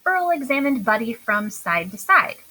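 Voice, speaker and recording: Bright, animated woman reading a story. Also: fairly high pitch, poor audio. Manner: expressive, deliberate storytelling delivery. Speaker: storybook reader. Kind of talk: scripted children's story narration read aloud.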